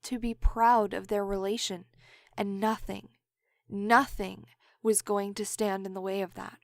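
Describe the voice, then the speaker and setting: A woman's voice: medium pitch, little pitch movement, measured pace, gravelly, dry recording. A woman narrates a story for an audio drama in a mid-range voice. The read is scripted, third-person narration, recorded very dry with no room sound.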